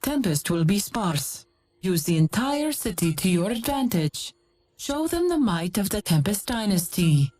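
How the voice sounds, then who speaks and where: An actress playing an imperious military commander in a video game, giving battle orders in a commanding, dramatic manner. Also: female voice, medium pitch, big pitch swings, a little slow, darkish tone, slight background noise. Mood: resolute. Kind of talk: video game mission briefing.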